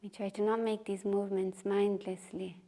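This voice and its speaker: A woman teaching meditation and gentle movement, guiding in short, unhurried phrases with pauses. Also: mid-range voice, level tone. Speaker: taiji and qigong meditation teacher. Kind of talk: guided meditation and movement instruction. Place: retreat session, live group class.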